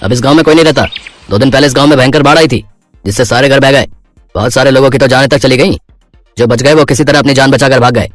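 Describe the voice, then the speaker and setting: पुरुष द्वारा निभाया तेज़ बोलने वाला सख़्त पुलिसवाला. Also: male voice, medium pitch, fast, no echo, soft background music, close to an everyday voice. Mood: grave. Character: stern but helpful village policeman.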